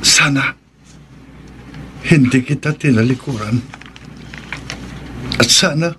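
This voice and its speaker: A man's voice: medium pitch, takes it slowly, background noise, natural voice. An actor playing a guilty, nervous young man in a horror radio drama, remorseful and stammering.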